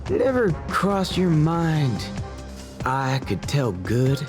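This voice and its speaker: A young cowboy gunslinger drawls in a Southern US accent, taking his time in a fairly high voice. He is folksy, cocky and taunting, a game hero out for revenge. Game music plays clearly underneath. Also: wildly swooping pitch, slow, heavily acted. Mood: cocky, defiant. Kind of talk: video game voice acting.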